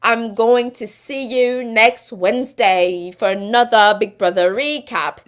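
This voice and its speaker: A woman's voice: fairly high pitch, fairly even intonation, measured pace, clear, resonant tone. A woman hosting a casual live stream, reading viewer comments aloud and chatting back. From Quebec. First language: French. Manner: chatty, reads comments aloud and answers them.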